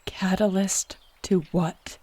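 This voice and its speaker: An actress playing a defiant, principled young shapeshifter heroine in an audio drama, speaking with earnest, firm indignation. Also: female voice, medium pitch, little pitch movement, slow, mellow, no echo. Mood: hurt, accusing. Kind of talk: dramatic audio roleplay acting.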